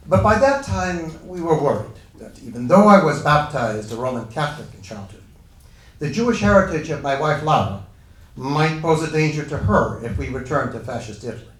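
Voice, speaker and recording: A man playing an earnest Italian-born physicist recounting his own life in a formal, declamatory dramatic reading. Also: on the high side. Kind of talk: first-person dramatic reading of a historical monologue. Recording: echoey room, distant microphone.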